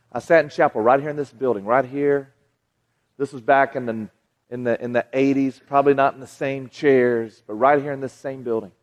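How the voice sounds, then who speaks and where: A man's voice: medium pitch, in a large hall, highs cut off. A man in his forties with a Southern American accent, preaching a folksy, conversational sermon to a live audience. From Southern United States. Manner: folksy, conversational, frequent restarts and asides to the crowd. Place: large hall with audience.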